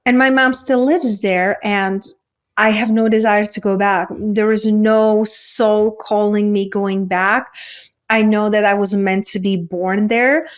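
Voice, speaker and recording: A woman's voice: mid-range voice, steady tone, measured pace, smooth. A woman from the Czech Republic with a Czech accent, speaking earnestly and reflectively as a coach being interviewed, in spiritual self-help phrasing. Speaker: podcast guest, women's coach. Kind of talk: reflective personal interview talk.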